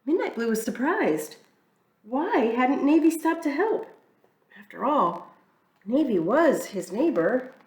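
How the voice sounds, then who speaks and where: A woman reading a children's picture book aloud in an expressive, storytelling way. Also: high-pitched, slow pace. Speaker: church reader. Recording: echoey room, mic far off.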